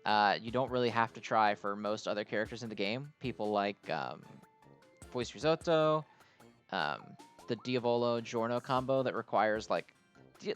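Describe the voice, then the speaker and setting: A man's voice: on the low side, clean tone. Man casually talking through a game, music behind. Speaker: host of a mobile game video. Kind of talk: casual unscripted game commentary.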